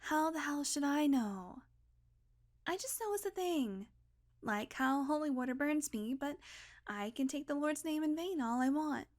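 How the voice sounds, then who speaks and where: A sardonic female vampire with a fairly high voice, played by a woman, talking one-to-one in an audio roleplay. She is centuries old but banters casually, swearing and teasing while grudgingly caring for her listener. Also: lively intonation, a little slow. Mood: concerned, teasing.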